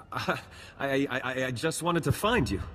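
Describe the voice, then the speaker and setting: A man's voice: medium pitch, animated, slow, fairly bright tone. A man playing a meek, lovesick jilted gambler in a video game, hesitant, stammering and earnest. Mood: pleading. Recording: long reverb, music behind.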